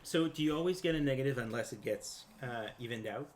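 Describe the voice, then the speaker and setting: A man's voice: medium pitch, fairly quick. A man talking casually as a player in a tabletop roleplaying game. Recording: loud crowd chatter behind voice.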